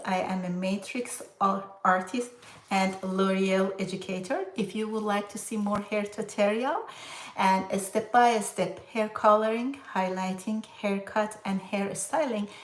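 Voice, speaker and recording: A woman's voice: mid-range voice, distant mic. Brief: hairstylist woman, chatty tutorial delivery, recorded a bit off-mic in an echoey room. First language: Farsi. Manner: chatty, instructive, with frequent uh fillers. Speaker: hairstylist and hair color educator. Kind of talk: casual home hair-coloring tutorial.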